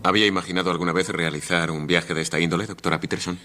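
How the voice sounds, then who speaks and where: An actor in a radio drama playing an earnest, doubtful agent, speaking in a formal manner. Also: male voice, low voice, steady tone, racing, bright, forceful tone, faint music behind. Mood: earnest, wondering. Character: earnest, doubtful male agent recruited for a mission.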